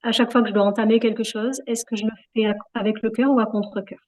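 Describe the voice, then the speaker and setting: A woman's voice: a little high, little pitch movement, quick pace, darkish tone, rough recording. A woman being interviewed in a reflective, conversational way, hesitating often as she searches for her words. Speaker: interview guest, yoga practitioner.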